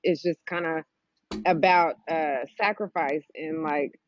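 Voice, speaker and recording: A woman's voice: low-pitched, steady tone, a little slow, highs cut off, a little hiss or hum. A woman comedian speaking as a podcast guest in casual chat, hesitant and guarded.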